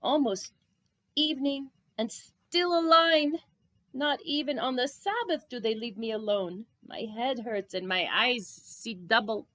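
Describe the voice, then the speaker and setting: A woman's voice: on the high side, bright, forceful tone, pitched above the performer's own voice. Woman voicing a weary, grumbling, bookish storybook rabbi. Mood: weary, exasperated. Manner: groaning, drawn-out, emphatic complaining. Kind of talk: storybook character voice.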